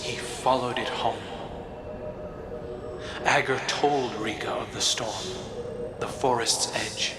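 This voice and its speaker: An actor playing a brooding, resentful prince in a video game, telling a fable in a measured, breathy, dramatic way with hushed pauses. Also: male voice, fairly low pitch. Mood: solemn. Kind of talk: dramatic scripted storytelling. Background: prominent music.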